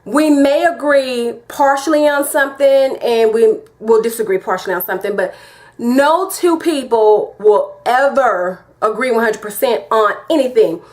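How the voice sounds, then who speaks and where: A woman's voice: on the high side, moderate pace, darkish tone. A woman with a Southern American accent giving her opinion in a casual vlog, emphatic and repetitive, talking straight to her viewers. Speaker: vlogger.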